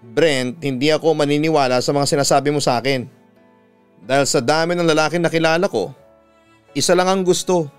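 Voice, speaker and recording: A man's voice: a little high, fairly quick, smooth, faint music behind, lightly acted. A Filipino man voicing an anguished, self-doubting woman who pleads emotionally, as in a radio drama. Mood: guarded, sad.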